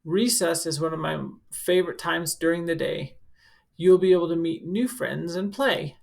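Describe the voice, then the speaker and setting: Woman reading to children, low creaky voice. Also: moderate pace, darkish tone. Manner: instructive, speaking directly to children. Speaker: school counselor. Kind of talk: picture book read-aloud with informal asides to children.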